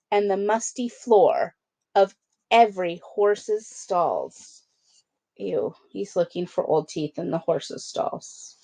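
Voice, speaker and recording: Woman, teacher read-aloud, unhurried pace, mid-range pitch, dry sound, explanatory and conversational. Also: darkish tone, dry recording. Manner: conversational, explanatory, with check-in questions. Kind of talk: picture-book read-aloud with casual asides to children.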